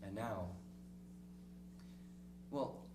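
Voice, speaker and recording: A man acting a lonely, cynical middle-aged diarist in a dramatic stage reading, speaking reflectively and ruefully with halting pauses. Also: on the low side. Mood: weary, wistful. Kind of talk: dramatic monologue in a one-act play reading. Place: small theater stage, recorded from the audience distance. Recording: distant, echoey stage recording with noisy hum.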